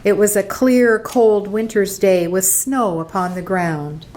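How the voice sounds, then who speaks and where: A woman's voice: mid-range voice, slow pace, a little mellow, slight background noise. A woman giving scripted narration for a dramatic radio play.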